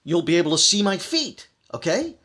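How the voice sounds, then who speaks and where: Guy acting desperate killer in an old radio thriller, sharp edgy voice swinging up and down, bossy then wheedling. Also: high-pitched, very animated, moderate pace, full character voice. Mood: urgent bargaining. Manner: urgent, commanding, by turns wheedling and menacing. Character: desperate, scheming male murderer trying to strike a bargain.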